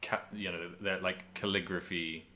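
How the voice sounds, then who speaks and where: A man's voice: low voice, fairly even intonation, normal speed, pushed and bright, slight background noise. A man co-hosting a podcast, chatting casually about video games. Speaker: podcast co-host.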